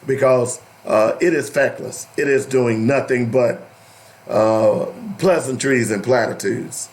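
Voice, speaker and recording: Slow-talking man with a cracking voice. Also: medium pitch, steady tone, darkish tone. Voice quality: cracking, strained voice. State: losing his voice. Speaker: radio talk show host. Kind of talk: opinionated radio talk, partly reading aloud.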